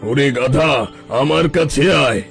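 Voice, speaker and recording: Man acting a gravelly, pompous village holy man. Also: medium pitch, a little slow, a bit bright, soft background music. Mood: grandly scolding. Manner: pompous, scolding. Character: bossy old holy man, scolding and fortune-telling. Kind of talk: cartoon story acting.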